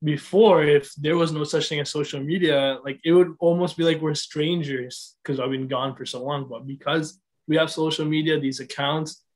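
A man's voice: on the high side, steady tone, normal speed. A young man from Sri Lanka talking casually on a video call panel, chatty with lots of "like" and "right". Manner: chatty, many likes and rights.

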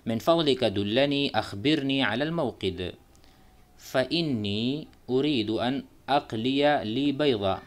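A man teaching spoken Arabic, who repeats each Arabic phrase and then explains it in Urdu. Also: medium pitch. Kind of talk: slow explanatory lesson. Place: voice-over on a screen-recorded whiteboard.

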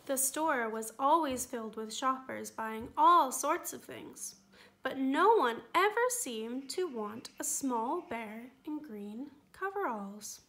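A woman reading a children's picture book aloud, gentle and expressive. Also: high-pitched, slow pace. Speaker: storytime reader.

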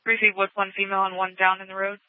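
Very fast, high-pitched male police dispatcher. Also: monotone, noisy background. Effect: police radio. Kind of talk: clipped radio read-backs. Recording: tinny phone-like police scanner audio.

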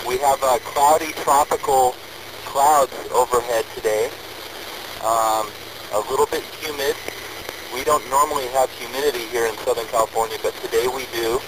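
An American man chats over amateur radio. He sounds easygoing and friendly, with a mid-pitched voice. The radio link is clearly noisy, with engine hum behind him. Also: normal speed. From United States. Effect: radio transmission. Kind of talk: casual chat over ham radio.

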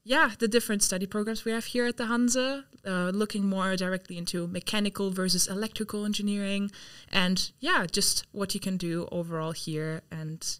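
A woman's voice: mid-range voice, steady tone, normal speed, hardly any pauses, a bit bright, smooth, no echo, studio quality. A young woman hosting a university podcast, chatty and upbeat, with many filler words. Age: young adult. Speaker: podcast host. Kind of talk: casual interview chat. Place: university studio room. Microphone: desk mic on stand.

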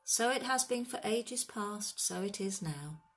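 A woman voicing a grave, dreamy male centaur in a storybook, with a sorrowful, sighing tone and formal, measured speech. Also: mid-range voice, expressive, a bit bright, voice pushed up. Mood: cryptic, mournful. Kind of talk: storybook character voice.

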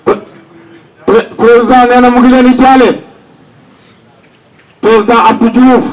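A man's voice: very high voice, little pitch movement, unhurried, recorded from a distance, low-quality recording. A Senegalese man announcing condolences to mourners, relaying a message at an outdoor gathering.

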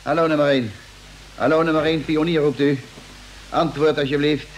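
An actor playing a practical male spaceship crewman in an old radio play, brisk and businesslike. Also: fairly high pitch, fairly even intonation, a little hiss or hum, lightly acted, rough recording. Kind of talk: vintage Dutch radio play acting. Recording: old radio recording with faint clicks.

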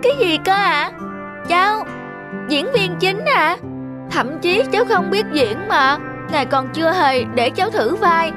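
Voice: very high voice, lively intonation, unhurried. A performer voicing a humble, polite young shoeshine boy in a cartoon, earnest and timid. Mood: timid, disbelieving. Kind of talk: animated moral tale dialogue. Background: background music.

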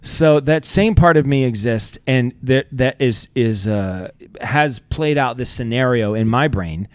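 A man's voice: medium pitch, a little slow, clean tone, no room sound. A man, hosting a casual podcast chat, rambling and self-deprecating. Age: 40s. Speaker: podcast host. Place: small room with a table, seated at a mic on a stand. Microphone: studio mic on a stand.

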